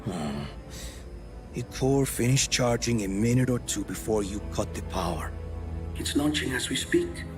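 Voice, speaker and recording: A man's voice: mid-range voice, expressive, a little slow, close to an everyday voice. A man acting a smug, suave middle-aged villain in a video game cutscene, mock-polite and condescending, with theatrical phrasing. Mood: smug, menacing. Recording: loud music bed under the voice. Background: music.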